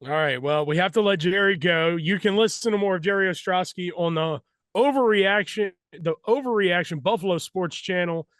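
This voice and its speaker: A man's voice: high voice, moderate pace, fairly bright tone, no echo. A man hosting a sports podcast, introducing guests and moving the show along. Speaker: podcast host.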